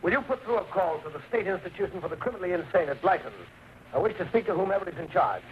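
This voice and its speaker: A man's voice: high-pitched, animated, racing, muffled recording. An actor in an old-time radio thriller playing a formal, emphatic stepfather who defensively hides his guilt. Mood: indignant, defensive. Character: suave, indignant stepfather hiding guilt. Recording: band-limited, noisy vintage radio recording.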